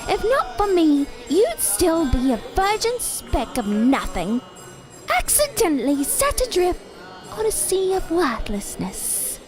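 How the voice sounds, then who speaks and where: A very high-pitched female doll from an audio drama, taunting and sharp-tongued. The delivery is theatrical and unhurried, with big melodic swings and a cutting edge. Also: huge pitch swings, over music, heavily acted. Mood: indignant scorn.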